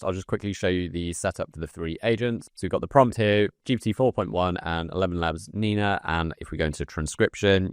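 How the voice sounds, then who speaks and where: A man with a very deep voice presents a screen-recorded tutorial. He explains things step by step in an informal manner, and the sound is close and very dry. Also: clear, resonant tone, no room sound. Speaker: presenter of a software comparison video.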